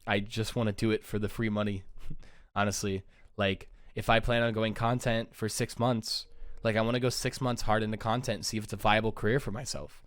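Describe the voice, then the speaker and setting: A young man talks to his viewers in a low, clean, smooth voice. His delivery is subdued and close to monotone, weighed down by sadness. The sound is dry and close, with no room around it. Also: brisk, no echo. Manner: subdued, low-energy, self-described monotone. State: sad, downcast. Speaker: YouTuber and pro gamer. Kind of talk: casual vlog talk to camera.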